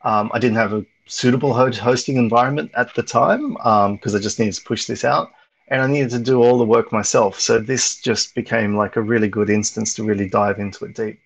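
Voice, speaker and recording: A man gives a casual tech talk at an online meetup, speaking over a video call. His voice sits at a middle pitch with a gravelly edge. He rambles, with plenty of ums and restarts. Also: poor audio. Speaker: meetup presenter.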